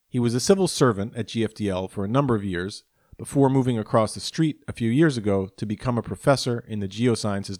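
A man's voice: fairly low pitch, no room sound, studio quality. A man hosting a podcast, reading a careful introduction and then interviewing a guest. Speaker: podcast host.

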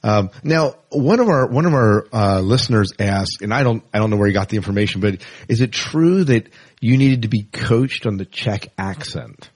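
A man's voice: lowish voice, normal speed, hardly any pauses, husky, no room sound. An American man hosting a casual podcast interview in an informal, rambling way.

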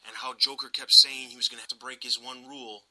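A man's voice: medium pitch, level tone, fast talker, bright, forceful tone, low-quality recording. A man hosting a podcast, talking casually about film in an emphatic, opinionated way. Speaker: podcast host.

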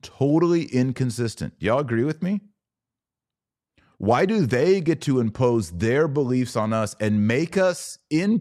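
A man delivering an opinionated podcast monologue as host, speaking emphatically with rhetorical questions and dramatic pauses. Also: mid-range voice, darkish tone, dry recording, clean studio sound.

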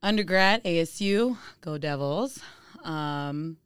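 American woman, young adult, lowish pitch, clean smooth tone, casual interview chat, dry room sound. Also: animated, slow, no room sound. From Phoenix, Arizona, United States. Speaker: studio guest on a podcast.

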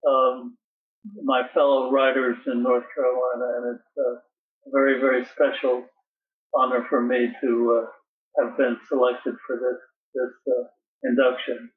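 A man in his eighties from Connecticut, an interview guest who speaks haltingly and repeats his words. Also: medium pitch, completely flat delivery. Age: 80s. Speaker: poet and guest. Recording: distant tinny phone line.

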